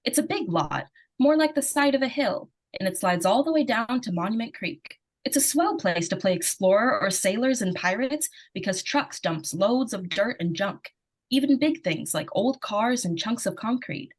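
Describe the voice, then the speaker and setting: A woman's voice: mid-range voice, expressive, moderate pace, lightly acted. Woman playing an earnest, folksy little girl storyteller. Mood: eager, earnest. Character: excitable, earnest little girl narrating her scare. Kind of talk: old-time radio drama narration. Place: remote live performance over video call.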